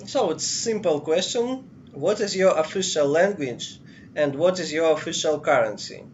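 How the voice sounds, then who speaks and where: A man asking interview questions in halting English, often laughing. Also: fairly high pitch, animated. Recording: dull lo-fi audio with faint noise.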